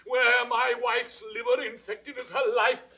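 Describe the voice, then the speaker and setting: A man's voice: very high-pitched, little pitch movement, a little slow, sharp tone, heavily acted. An actor playing a jealous, suspicious king with a British accent, in theatrical classical Shakespearean style for an audio drama. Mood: raging jealousy. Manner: theatrical, crisply declaimed, dropping into whispers. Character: jealous, suspicious king brooding over his wife's imagined betrayal. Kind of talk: classical Shakespearean stage acting, 1960s audio recording.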